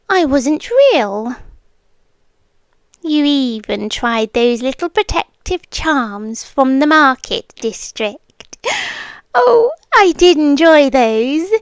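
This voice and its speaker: An ancient, possessive supernatural girlfriend acted by a woman speaks in a high British voice. She draws her words out very slowly, teasing and taunting in an intimate ASMR style that often slips toward whispering. The sound is close and dry, with a smug menace underneath. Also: very animated, dry recording, exaggerated voice. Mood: mocking, possessive. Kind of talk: ASMR roleplay.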